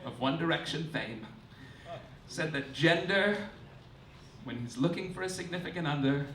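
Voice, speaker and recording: A man's voice: on the high side, animated, normal speed, fairly bright tone. A man doing a satirical stand-up monologue as host, in a campy, theatrical manner with mock-formal announcements. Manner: campy, theatrical, swooping emphasis. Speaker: stand-up comedian hosting a live show. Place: indoor cabaret club stage. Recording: roomy live stage, distant, clearly noisy.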